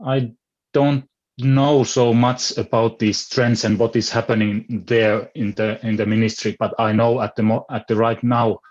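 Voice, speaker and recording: A man's voice: medium pitch, flat delivery, unhurried, darkish tone. Hesitant Finnish-accented man, muffled call audio. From Finland. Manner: hesitant, searching for words, many restarts. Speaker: philosopher on a panel. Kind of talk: online panel discussion.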